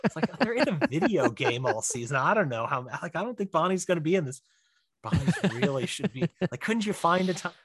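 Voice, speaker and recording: A man's voice: on the high side, sing-song, fairly quick, fairly bright tone. A man chatting casually as a podcast guest, joking and teasing in a dry way. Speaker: podcast guest, audio drama writer and director. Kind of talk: casual interview chat.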